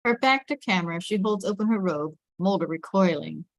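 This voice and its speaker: A woman narrates stage directions from a drama script at a table read. Her voice sits at a middle pitch, and the recording is close and very dry. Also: brisk, no echo.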